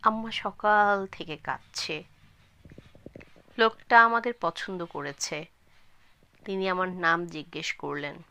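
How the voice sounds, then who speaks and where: A woman storyteller narrates a scripted tale at a slow, measured pace. Her voice is clearly bright and forward, and she delivers the text phrase by phrase. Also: lowish voice, dry recording. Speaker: channel storyteller.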